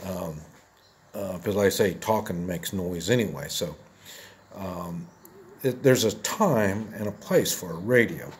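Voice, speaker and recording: A man in his seventies talking casually and plainly to viewers as he shows gear, halting and rambling, often repeating himself. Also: deep voice, a little hiss or hum. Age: 70s. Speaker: video host.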